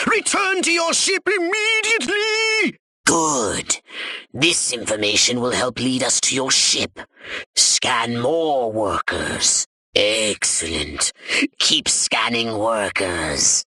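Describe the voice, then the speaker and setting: An actor playing a pompous, scheming alien commander in a video game, theatrical and scolding with a raspy voice. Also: male voice, high-pitched, sharp tone, no echo, exaggerated voice. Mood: excited, urgent. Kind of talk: video game character voice lines.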